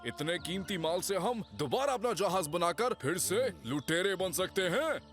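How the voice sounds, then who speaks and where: An actor playing an eager, servile pirate henchman in a cartoon dub, excitable in manner. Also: sits high, normal speed. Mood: gleeful. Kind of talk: animated cartoon dub acting. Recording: music behind the voice.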